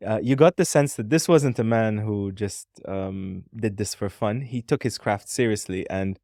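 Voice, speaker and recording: Male podcast co-host, lowish voice, reflective and rambling, close dry sound. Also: moderate pace, dark tone, no echo. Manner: thoughtful, rambling with many "um"s. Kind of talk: casual podcast conversation.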